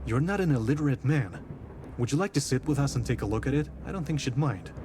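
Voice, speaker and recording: An actor playing a composed, skeptical detective in a visual novel, dry and questioning. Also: male voice, mid-range voice, animated, quick pace, background noise, natural voice. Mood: dismissive, puzzled. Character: skeptical, composed male detective of imperial Russia. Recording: voice over prominent background music.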